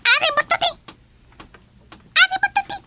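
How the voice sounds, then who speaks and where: A woman voicing an excitable, high-voiced underling of a fairy kingdom in a comedy radio drama. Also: normal speed, soft background music, full character voice. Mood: frantic, pleading.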